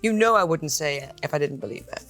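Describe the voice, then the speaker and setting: A middle-aged woman with a Welsh accent chatting animatedly in a quick question game, gasping and playfully emphatic. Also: sits low, expressive, fairly bright tone, faint music behind. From Wales. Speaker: actress, interview guest. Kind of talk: casual rapid-fire chat.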